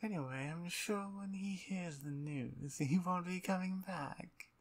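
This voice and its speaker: A woman playing a dominant, playful vampire conqueror in an ASMR roleplay, with a breathy, near-whispered voice and an imperious, taunting manner. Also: fairly low pitch, unhurried. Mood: curious, scornful.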